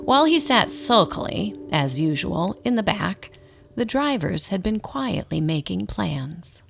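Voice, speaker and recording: A woman's voice: medium pitch, big pitch swings, measured pace, vocal fry. A woman reading scripted storybook narration as the narrator of a radio drama.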